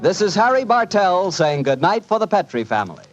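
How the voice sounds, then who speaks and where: A man's voice: high voice, very animated, brisk. An American man announcing in 1940s radio style, with folksy, polished salesmanship. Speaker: radio announcer and sponsor pitchman. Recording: muffled 1940s radio broadcast with music.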